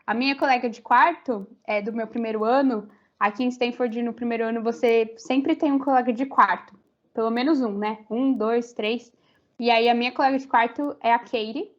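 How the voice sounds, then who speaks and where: A woman's voice: on the high side, fairly even intonation, moderate pace. A young Brazilian woman with a Brazilian Portuguese accent, a university student chatting as a podcast guest, full of fillers and restarts. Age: young adult. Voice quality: many fillers, rambling restarts. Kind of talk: casual interview chat. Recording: slightly muffled audio.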